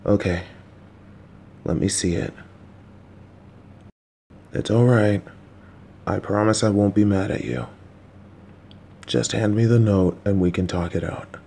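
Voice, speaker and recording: A man's voice: on the low side, fairly even intonation, slow, vocal fry, long pauses, warm tone, a little hiss or hum. A man voicing a patient, slightly clueless, supportive middle-aged father who coaxes and reassures his child, with jokey asides. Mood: calm, coaxing. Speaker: voice actor in an audio roleplay. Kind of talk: listener-directed audio roleplay.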